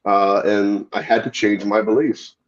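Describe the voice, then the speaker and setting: A man's voice: on the low side, little pitch movement, unhurried, muffled recording. A man appearing as a guest demonologist, explaining his views earnestly and thoughtfully. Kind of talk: casual interview talk.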